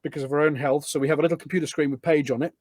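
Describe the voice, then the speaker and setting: A British man talks casually as a guest on a podcast. His voice sits a little high and is recorded very dry, with no room echo. He is informal and chatty, with a self-deprecating streak. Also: fairly even intonation, very fast, a little mellow. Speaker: podcast guest, community event organiser. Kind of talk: casual chat. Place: office.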